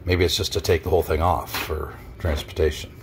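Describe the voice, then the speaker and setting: A man giving a relaxed, casual walkthrough of a boat he is looking at, thinking aloud as he goes, recorded outdoors in a marina on a camera mic. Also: deep voice, fairly quick, gravelly, a little hiss or hum. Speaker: boat owner touring a yacht.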